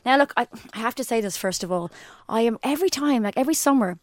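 A woman in her forties with an Irish accent, co-hosting a podcast and telling stories in a lively, animated way. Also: quick pace, dry recording, clean studio sound. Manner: animated storyteller, jumps into high quoted voices. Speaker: podcast co-host. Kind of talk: casual chat.

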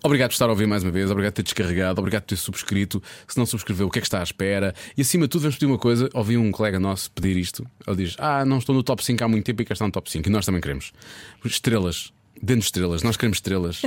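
Man, podcast co-host, teasing banter, very fast talker, dry close sound. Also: fairly low pitch, no room sound. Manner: teasing, jokey, quick back-and-forth. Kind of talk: bantering casual chat.

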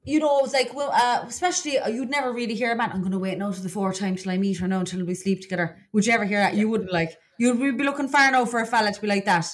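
A woman's voice: medium pitch, fast talker, hardly any pauses. An Irish woman with a Cork accent co-hosting a podcast in a casual, gossipy, confiding way. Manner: chatty, colloquial, confiding. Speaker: podcast co-host.

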